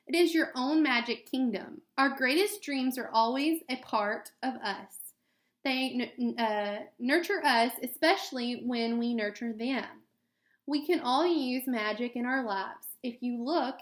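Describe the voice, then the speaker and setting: A woman, a teacher, reading a picture book aloud to children in an expressive storytelling way. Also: on the high side. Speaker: elementary school teacher. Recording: echoey room sound.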